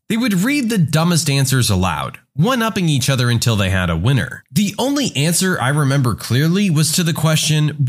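A man reading out online anecdotes as scripted narration, expressively, acting out the quoted lines. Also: a little high. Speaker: narrator of reader-submitted stories.

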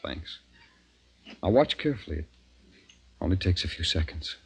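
An obsessed, uneasy scientist in a 1950s radio play. His voice sits a little low, and he speaks with insistent, halting intensity. Faint noise runs through the old recording. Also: sing-song, vocal fry, heavily acted. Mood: tense, focused. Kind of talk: 1950s radio drama acting.

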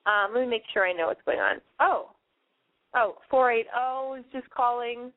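A woman's voice: on the high side, normal speed, no echo. Nasal valley-girl woman host with a cold. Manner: valley-girl speech, blunt and profane. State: has a cold. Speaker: radio show host. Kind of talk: casual call-in radio chat. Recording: tinny phone-line sound.

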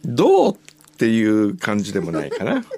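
Man, radio host, casual chat, playful drawn-out reactions, animated intonation, slight background noise. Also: lowish voice. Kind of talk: casual chat while opening listener gifts and reading messages.

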